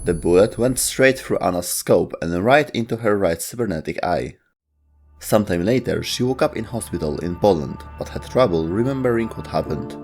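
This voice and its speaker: A man's voice: low-pitched, lively intonation, normal speed, a little mellow, soft background music. A man giving scripted narration as the host of a video game lore show.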